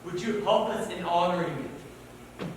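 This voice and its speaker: A man's voice: high voice, expressive, normal speed. A man acting a solemn figure in a stage play, projecting in a ceremonial manner. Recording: distant echoey hall, faint noise.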